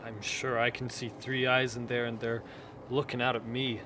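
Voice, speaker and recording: A man's voice: mid-range voice, moderate pace, close to an everyday voice. A man playing a cranky, blustering expedition cook in a radio play, indignant in manner. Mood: uneasy. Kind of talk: dramatic radio play acting. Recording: clear steady background noise.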